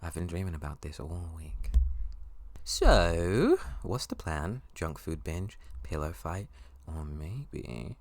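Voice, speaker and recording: A man voicing an excitable, affectionate young college best friend in an intimate ASMR roleplay, playful and prone to gushing rambles. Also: deep voice, slow pace, no echo, full character voice. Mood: playful, eager.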